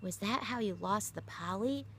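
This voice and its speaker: A woman's voice: mid-range voice, normal speed, a bit bright, breathy edge, voice pushed up. A woman voicing an earnest young boy who asks questions and stammers when upset in a read-aloud story. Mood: curious.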